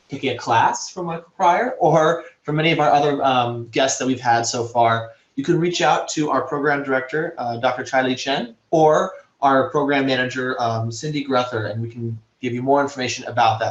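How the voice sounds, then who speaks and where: A man who hosts the webinar wraps it up in a friendly, grateful tone and smoothly promotes the program. His voice sits in the middle range and sounds roomy and echoey, with dull, lo-fi audio. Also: medium pitch. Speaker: webinar host. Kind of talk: closing remarks.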